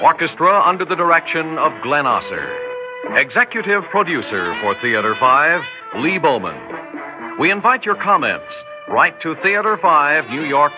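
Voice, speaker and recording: A male radio announcer with a smooth, fairly high voice and a formal, measured delivery. He sounds like a 1960s broadcast, dull in tone, with prominent music behind him.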